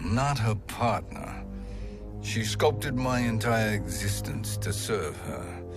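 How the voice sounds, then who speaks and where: An actor playing a treacherous sorcerer who speaks smoothly and calculatingly, in a game cutscene. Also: male voice, fairly low pitch. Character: scheming treacherous male sorcerer, silky and sly. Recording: game soundtrack with loud music.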